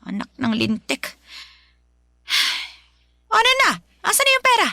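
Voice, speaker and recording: A woman playing a harsh, belittling, nagging Filipino mother in a radio drama, with a sharp-tongued, scolding manner. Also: extremely high pitch, wildly swooping pitch, measured pace, creaky, no room sound, studio quality, full character voice. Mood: irritated, demanding.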